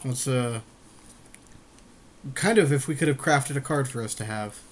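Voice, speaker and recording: A man's voice: medium pitch, unhurried. A man co-hosting a podcast, chatting casually and colloquially as he reasons through a card game draft. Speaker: podcast co-host.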